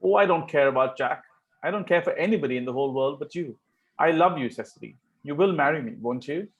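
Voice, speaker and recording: A man reading the part of a smitten, ardent young Victorian gentleman in an amateur table read of a stage comedy, wooing earnestly. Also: a little high, quick pace, dull audio, natural voice. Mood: eager, ardent.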